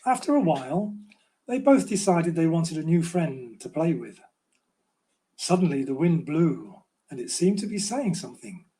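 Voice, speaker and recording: Slow-spoken older man with a mellow voice. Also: on the high side. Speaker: children's author reading own book. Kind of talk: storybook read-aloud.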